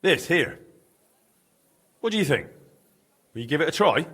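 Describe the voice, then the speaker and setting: A man's voice: on the high side, big pitch swings, normal speed, fairly bright tone, husky, full character voice. A man playing an earnest, polite young medieval master blacksmith in a video game. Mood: friendly, businesslike. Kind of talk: naturalistic game dialogue.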